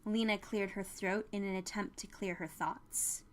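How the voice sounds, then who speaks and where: A woman's voice: medium pitch, steady tone, a little slow. Woman narrating fiction over music. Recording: music under the voice.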